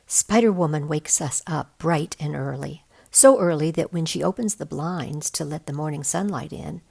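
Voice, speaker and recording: A woman reading a children's novel aloud in an expressive storytelling manner. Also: low-pitched, normal speed, vocal fry, husky. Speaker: volunteer storybook reader.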